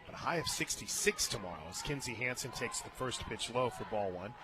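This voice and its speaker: An American man doing live play-by-play radio commentary on softball, chatting between the calls. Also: medium pitch, big pitch swings, brisk, noisy background. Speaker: play-by-play announcer. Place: outdoor stadium radio booth.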